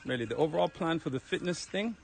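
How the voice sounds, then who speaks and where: Brief: Jamaican man, slightly high voice, relaxed chatty fitness livestreamer, outdoors on a phone, a little winded. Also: fast, vocal fry. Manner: chatty, relaxed, talks to viewers while walking uphill. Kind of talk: casual livestream chat while walking. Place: outdoors on a hill road. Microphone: phone held while walking.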